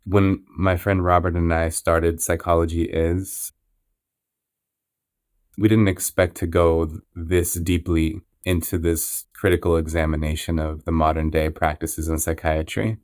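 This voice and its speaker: A man with a low, level voice hosts a podcast and talks in an earnest, measured way. His tone stays clear and smooth, recorded dry and close. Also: flat delivery, darkish tone, dry recording. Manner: measured, with frequent restarts and "you know". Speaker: podcast host. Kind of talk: interview intro, earnest and reflective.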